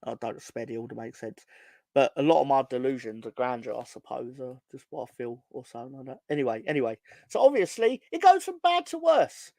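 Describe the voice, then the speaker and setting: A hoarse man ranting on a casual football fan podcast, swearing and rambling with many restarts. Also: mid-range voice, very animated, brisk, mellow, dry recording. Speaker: podcast co-host.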